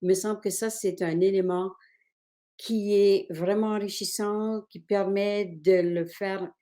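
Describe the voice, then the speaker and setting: A woman panelist talks slowly and thoughtfully over a video call. Her voice is mellow and soft-edged, and she pauses on fillers as she works toward her point. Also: mid-range voice, fairly even intonation. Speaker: webinar panelist. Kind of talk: unscripted panel discussion.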